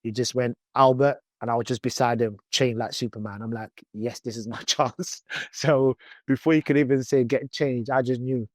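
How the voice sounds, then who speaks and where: A man's voice: medium pitch, fairly even intonation, normal speed, dry recording. A man in his thirties whose first language is Twi, talking loosely in an interview as a footballer guest with many fillers. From born in England, raised in Ghana. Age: 30s. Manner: chatty, loose, many "you know" fillers and restarts. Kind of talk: casual interview.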